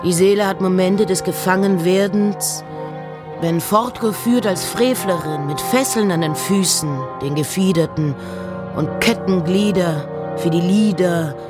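A woman playing a reflective, intense poet in a slow, intimate dramatic recitation of letters and verse. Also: fairly low pitch, music in the background, lightly acted. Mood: somber. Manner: measured, intimate, often dropping to breathy whispers.

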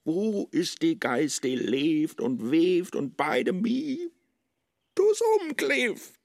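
An actor playing a brooding, world-weary scholar in a rhymed radio play, declamatory, with a Low German accent. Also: male voice, sing-song, very slow, creaky, dry recording, clean studio sound, full character voice. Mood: anguished. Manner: declamatory, melancholy.

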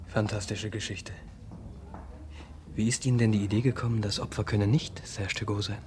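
An actor in a 1970s German radio play, playing a dutiful, methodical police inspector with an official, matter-of-fact manner. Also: male voice, lowish voice, fairly even intonation, moderate pace, darkish tone, natural voice. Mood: sceptical, weighing. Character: dutiful, methodical male police inspector, obliging but sceptical. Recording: older radio play, faint background noise.

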